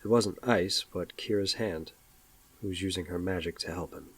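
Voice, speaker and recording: A man's voice: deep voice, normal speed, slightly rough, poor audio. A man narrating a scripted audio drama, with ambient noise behind him.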